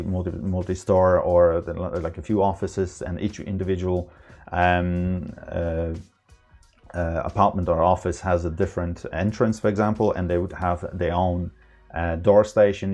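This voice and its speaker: A man presenting an informal, unscripted software tutorial, explaining step by step in a halting, hesitant manner. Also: low voice. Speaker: product trainer, tutorial presenter.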